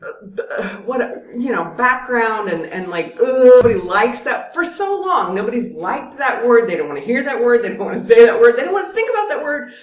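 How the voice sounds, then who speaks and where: Animated woman, distant and echoey. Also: on the high side, moderate pace, hardly any pauses. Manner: animated, laughs often. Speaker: guest, regular listener. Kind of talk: casual chat. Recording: echoey, set back from the mic.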